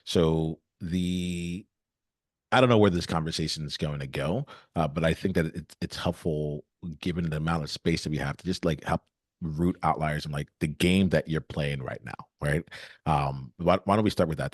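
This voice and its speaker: Very deep-voiced man hosting, dry sound. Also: normal speed, no echo. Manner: warm, encouraging, casual fillers. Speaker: podcast host. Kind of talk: interview hosting.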